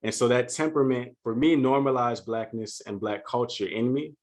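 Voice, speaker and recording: A man from Louisiana giving a prepared keynote speech for a virtual conference, speaking in an earnest, measured and deliberate way. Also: mid-range voice, fairly even intonation, a little mellow. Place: home setup for a virtual conference.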